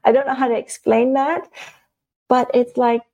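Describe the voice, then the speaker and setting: A woman from the Netherlands, telling personal stories in a casual, animated, halting way as an interview guest. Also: high-pitched, muffled recording. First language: Dutch. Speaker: interview guest, spiritual channeler. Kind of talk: casual conversational storytelling.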